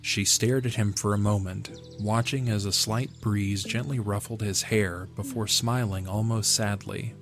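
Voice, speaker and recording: A man's voice: on the low side, steady tone, unhurried, hardly any pauses. A man reading scripted story narration for an audio drama, in the manner of an audiobook. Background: background music.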